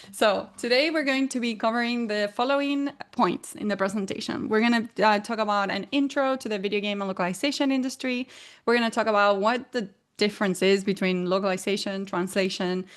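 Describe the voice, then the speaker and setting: A woman in her forties with an Argentine Spanish accent gives a presentation. She explains things in a clear, measured way, and her voice sits at an ordinary middle pitch. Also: steady tone. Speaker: guest presenter. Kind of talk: presenting a talk.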